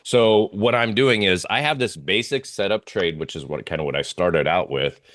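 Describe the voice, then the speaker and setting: A man giving unscripted analysis as an interview guest, talking through slides in a halting, rambling way with pauses and repeated phrases. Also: low-pitched, fairly bright tone. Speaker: interview guest, geopolitical oil trading analyst.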